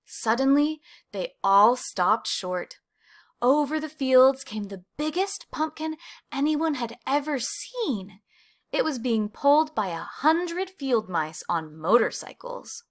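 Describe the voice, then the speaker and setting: Higher-voiced woman reading a children's story. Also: very animated, slow pace. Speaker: storybook reader. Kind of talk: expressive children's picture book read-aloud.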